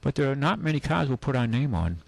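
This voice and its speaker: A man hosting a call-in radio show, giving casual advice in a halting, rambling way. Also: mid-range voice, sing-song, creaky, dry recording. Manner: halting, rambling, conversational explanations. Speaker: radio call-in show host, car dealer.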